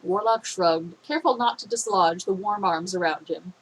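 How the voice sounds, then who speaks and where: A woman reading a fan fiction story aloud in a steady, expressive way, her voice a little phlegmy. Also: mid-range voice, normal speed, breathy edge, low-quality recording.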